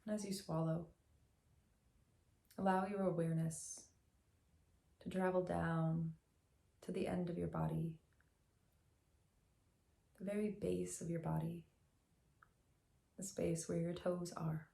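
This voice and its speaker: A woman guiding a meditation as a teacher, speaking in a gentle, soothing, unhurried way with long pauses, in a slightly echoey room. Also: on the low side, little pitch movement, gravelly. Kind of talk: guided meditation, soft instructional narration.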